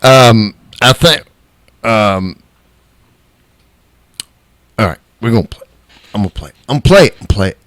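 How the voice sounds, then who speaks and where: A man's voice: fairly low pitch, expressive, moderate pace, long pauses, fairly bright tone, no room sound. A man co-hosting a casual podcast, speaking bluntly and teasing. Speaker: podcast co-host.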